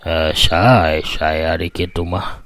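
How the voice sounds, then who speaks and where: Man acting a grave, deep-voiced Sundanese doctor. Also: steady tone, slow pace, a bit bright, no room sound, full character voice. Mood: matter-of-fact, polite. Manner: formal, explanatory, with hesitations. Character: polite, grave male hospital doctor.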